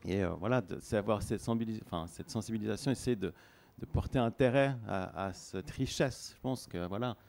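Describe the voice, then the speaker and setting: Guy with a low gravelly voice, thinking out loud, lots of restarts. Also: fairly quick. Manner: hesitant, earnest, reflective. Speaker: participant in a discussion. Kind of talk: spontaneous discussion, many restarts.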